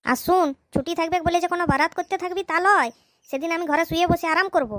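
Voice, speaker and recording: A voice actor playing a gruff husband and father, scolding and muttering to himself in a cartoon. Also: extremely high pitch, quick pace, fairly bright tone, no echo, clean studio sound. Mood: grumbling. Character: grumpy rural husband and father, blunt.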